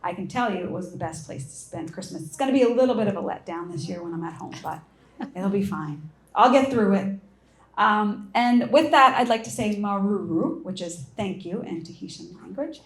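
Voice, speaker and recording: A woman's voice: mid-range voice, animated, normal speed. A woman cruise representative giving an upbeat, friendly presentation at an event. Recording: echoey room.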